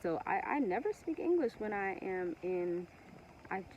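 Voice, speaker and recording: A woman from Togo whose first language is Ewe, talking earnestly and passionately to camera with many pauses and restarts. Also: medium pitch, very animated, a little mellow, muffled recording, a little hiss or hum. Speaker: vlogger. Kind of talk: casual monologue to camera.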